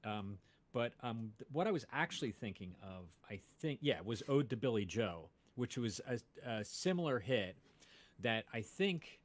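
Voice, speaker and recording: A man's voice: mid-range voice, sing-song, moderate pace. Rambling man chatting about old music. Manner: rambling, many fillers and restarts. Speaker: podcast host. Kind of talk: casual chat about music.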